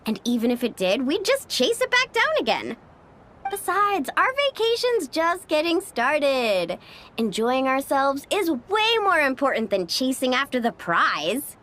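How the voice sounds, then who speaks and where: A woman's voice: high-pitched, sing-song, a little slow, a bit bright, heavily acted. A woman playing a bubbly, easygoing young female tour guide in an anime-style video game, playful and upbeat. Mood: reassuring. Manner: exclamatory, playful.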